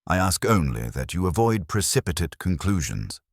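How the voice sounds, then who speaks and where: A genteel village vicar from a detective storybook, read by a male narrator, humble yet guarded. He speaks formally and carefully in a very low, expressive voice, recorded dry. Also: normal speed, no room sound, exaggerated voice.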